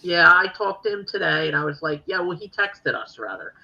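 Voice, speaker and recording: A woman's voice: on the low side, lively intonation, brisk, gravelly, bright, forceful tone, highs cut off. A woman with a Philadelphia accent hosting a podcast, chatting casually and rambling with many fillers. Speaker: podcast host. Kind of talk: casual chat.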